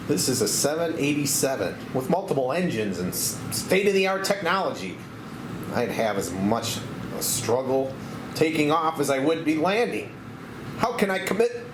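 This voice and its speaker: A man's voice: a little high, sing-song, normal speed. A man playing an anxious, melodramatic former war pilot who doubts himself, in a screenplay table read. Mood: fearful, reluctant. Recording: echoey room with background noise.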